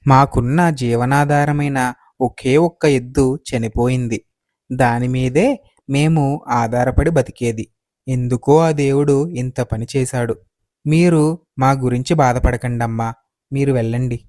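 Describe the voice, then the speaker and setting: A man playing a humble, kindly poor farmer in a moral story, speaking deferentially and gently. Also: medium pitch, a little slow, dry recording, clean studio sound, close to an everyday voice. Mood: grieving. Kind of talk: acted moral story dialogue.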